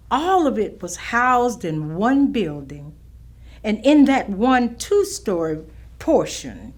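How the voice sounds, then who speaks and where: Slow, expressive higher-voiced older woman acted. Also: heavily acted. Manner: deliberate, measured. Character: dignified elderly Southern woman recalling segregated schooling. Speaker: stage actress. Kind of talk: staged reading of oral history testimony.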